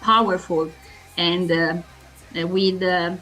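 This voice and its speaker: A woman asks interview questions in halting, speech. She speaks very slowly and on the low side, often stopping to search for a word. Faint music plays underneath. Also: fairly even intonation, pushed and bright, low-quality recording. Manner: halting, searching for words in non-fluent speech.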